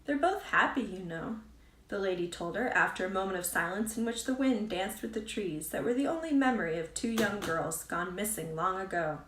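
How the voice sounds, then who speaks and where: A woman's voice: medium pitch, lively intonation, a little fast, hardly any pauses, a little hiss or hum. A woman reading a storybook aloud as a scripted narration, as an author reads her own book. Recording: roomy echo, distant from mic.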